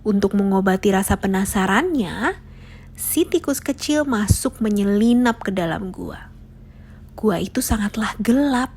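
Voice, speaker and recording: A woman's voice: mid-range voice, a little mellow, slightly rough. A woman narrating a scripted children's fable as a storyteller.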